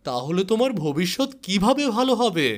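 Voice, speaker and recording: Kindly woodcutter father for an animated fable, played by a man: fairly high, very expressive, tender. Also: moderate pace. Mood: tender, coaxing. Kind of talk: animated moral story character acting.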